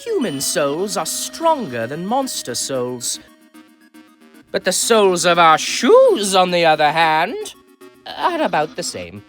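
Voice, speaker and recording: Game character: vain, naive skeleton showman. Slow, pompous delivery, extremely animated pitch, theatrical cartoon bluster. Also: heavily acted. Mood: smug, coy. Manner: grandiose, theatrical, swinging from hushed asides to loud exclamations. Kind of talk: amateur video game character dub. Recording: voice over background music.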